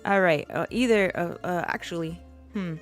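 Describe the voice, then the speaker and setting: A woman's voice: lowish voice, normal speed, natural voice. A woman streamer voicing an exuberant mafia don woman, rambling playfully in live role-play chat. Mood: chatty, playful. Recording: music playing behind the voice. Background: music.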